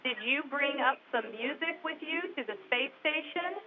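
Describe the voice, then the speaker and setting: A woman's voice: high-pitched, moderate pace. Woman moderator over muffled crowd audio. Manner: clear, formal announcing. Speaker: event moderator. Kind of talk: moderating a live link with schools. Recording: dull lo-fi audio with a crowd behind.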